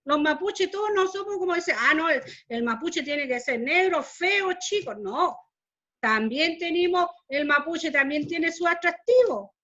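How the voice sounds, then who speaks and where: A woman's voice: extremely high pitch, expressive, unhurried, a bit bright, lo-fi sound. A Chilean woman from southern Chile, a Mapuche community speaker, addressing a class over a video call in an earnest, emotional way, often repeating her phrases. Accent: Chilean Spanish. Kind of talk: heartfelt talk to a class.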